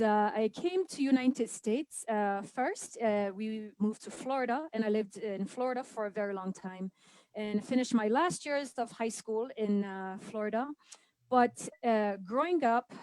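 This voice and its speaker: A woman's voice: a little high, a little mellow, no echo. A young woman from Saudi Arabia, a dancer and guest, answering questions on a video call, hesitant and full of fillers. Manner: hesitant, many fillers, says she is nervous. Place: indoor home room. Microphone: video call webcam.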